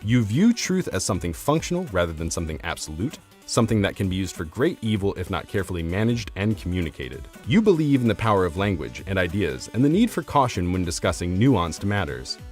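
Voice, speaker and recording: A man's voice: deep voice, sing-song, fairly quick, hardly any pauses. A man narrating a scripted, argumentative video essay in an articulate, formal, academic way. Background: soft instrumental music.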